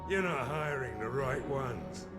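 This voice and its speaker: Casting: male actor as dryly critical veteran companion in a sci-fi game, mid-pitched, sombre, deliberate, music behind. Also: expressive, natural voice. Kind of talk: video game voice acting.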